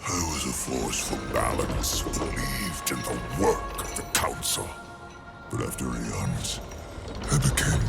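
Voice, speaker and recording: Abyssal, gravelly ancient otherworldly lord. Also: male voice, heavily acted. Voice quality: very deep, gravelly. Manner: grave, deliberate, theatrical, formal diction. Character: ancient, solemn otherworldly lord, once a councillor. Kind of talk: video game cutscene acting. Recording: loud music behind the voice. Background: loud music.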